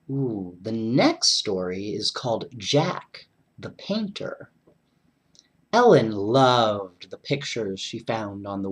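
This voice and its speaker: A bedtime story reader narrating in an animated storybook style, with chatty asides to children. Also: medium pitch, slightly rough.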